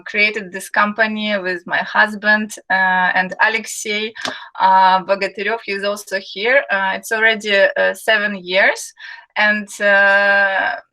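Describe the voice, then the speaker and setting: Slow, bright-voiced woman hosting a webinar. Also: medium pitch, creaky, poor audio. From Ukraine. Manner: friendly, many fillers, reads chat aloud. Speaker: webinar host, marketing and sales manager. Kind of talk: live webinar hosting, chatting with viewers.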